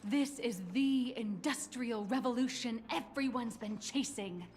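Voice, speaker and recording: A woman's voice: on the high side, unhurried, a little mellow, slight background noise, lightly acted. An actress voicing a polite, businesslike corporate guide woman in a video game, explaining and promoting her company's work. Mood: brisk pitch.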